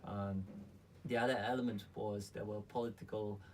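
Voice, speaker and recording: A man from Malaysia speaking as a guest in a casual interview, earnest and halting in his delivery. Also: lowish voice, level tone, darkish tone. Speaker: interview guest, company founder. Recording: distant mic, faint background noise.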